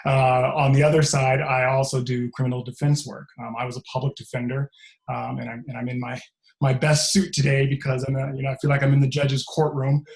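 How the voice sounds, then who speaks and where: A man panelist introducing himself in a relaxed, conversational way with many fillers over a video call. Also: mid-range voice, brisk, vocal fry. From California. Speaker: panelist, trial lawyer.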